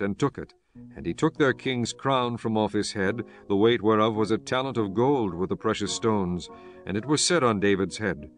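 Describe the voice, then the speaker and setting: A man with a low voice narrates scripture in a solemn storytelling style. Soft music plays behind his scripted reading. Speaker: audiobook narrator.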